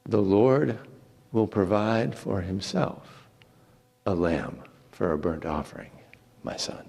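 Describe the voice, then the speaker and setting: A man playing a solemn, tender old father in a slow, weighty scripture reading. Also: on the low side, lively intonation, gravelly, darkish tone, big-room sound, voice pushed down, exaggerated voice. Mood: grave, tender.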